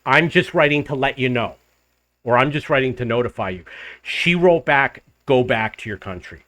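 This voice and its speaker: An older man, host of his own travel channel, telling a rambling personal story to the camera in a loud voice. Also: mid-range voice, normal speed, dry recording. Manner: rambling, restarts and loops back in the story. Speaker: vlogger living abroad.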